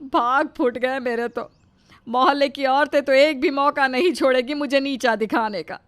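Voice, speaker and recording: A woman's voice: sits high, steady tone, normal speed, fairly bright tone, no room sound. A woman voicing a scolding, lamenting Indian mother-in-law in a dramatised cartoon moral story. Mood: indignant, lamenting.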